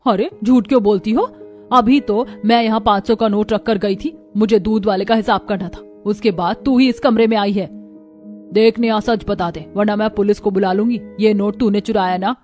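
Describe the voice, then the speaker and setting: A woman playing a haughty, suspicious employer who scolds and accuses with a sharp tongue in a moral story. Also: medium pitch, steady tone, moderate pace, faint music behind, natural voice. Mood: accusing. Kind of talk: dramatized Hindi moral story acting.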